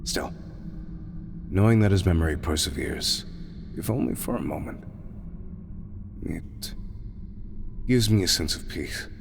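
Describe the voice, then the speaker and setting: A man performs a proud, grieving god with a very deep voice that ranges widely in pitch. He speaks in solemn, formal phrases with breathy softness, in a fantasy audio drama. Noisy ambience sits around the voice. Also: animated, a little slow, pauses often, exaggerated voice. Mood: weary, mournful. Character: weary, proud male god, grieving and resolute. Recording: clearly noisy, ambient background sound.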